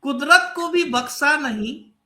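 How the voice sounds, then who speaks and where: A man's voice: very high-pitched, bright, forceful tone. Young man with a sore throat reciting ghazals. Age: young adult. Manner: recites each line twice, slow and deliberate. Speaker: ghazal poet.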